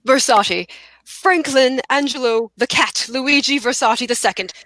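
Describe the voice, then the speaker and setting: A woman playing a suave, grandiose gentleman suitor with a pompous, theatrical delivery in a stage comedy read. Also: high voice, unhurried, dry recording. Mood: grandly seductive.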